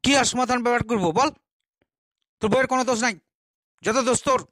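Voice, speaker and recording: A man's voice: very high-pitched, fairly even intonation, quick pace, a bit bright. A man voicing a sly, self-assured fake holy man in a comic cartoon, with a condescending manner. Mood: sly, commanding. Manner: commanding, condescending guru talk.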